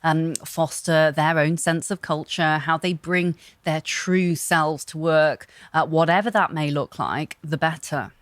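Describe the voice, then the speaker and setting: Low-voiced woman guest, thoughtful. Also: fairly even intonation, a little slow, hardly any pauses, no echo. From Hong Kong. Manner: thoughtful, with frequent fillers and restarts. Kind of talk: casual interview talk.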